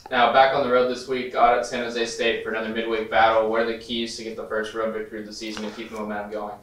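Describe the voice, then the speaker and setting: Flat, fast, roomy off-mic questioner. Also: male voice, lowish voice, recorded from a distance. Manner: reads prepared questions in a flat, quick delivery. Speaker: sports reporter. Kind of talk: press conference questions.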